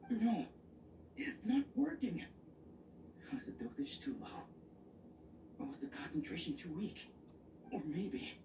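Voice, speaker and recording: Hesitant young ally, roomy sound. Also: female voice, on the high side. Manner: hesitant, anxious, questioning. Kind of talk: dramatic video game acting. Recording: roomy, distant, noisy game capture.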